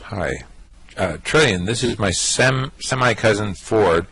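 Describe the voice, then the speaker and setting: Radio comedy role: cool, evasive alien guy, male actor, deep and husky, casual slow drawl. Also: a bit bright, heavily acted. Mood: flustered, offhand. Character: breezy, evasive alien man with a cool swagger. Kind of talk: amateur radio play acting.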